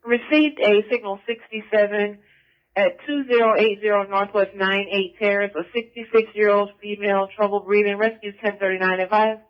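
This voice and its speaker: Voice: mid-range voice, fairly even intonation, normal speed. A police dispatcher giving terse coded calls in a procedural, clipped way over a radio transmission. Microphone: radio dispatch mic. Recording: muffled lo-fi police scanner radio.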